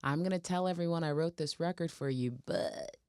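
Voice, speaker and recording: A woman in her middle years from Portland, Oregon, with a deep, commanding voice, chatting expressively as an interview guest and often laughing. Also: a little fast, a little mellow, clear, resonant tone, dry recording, clean studio sound. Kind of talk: casual interview chat.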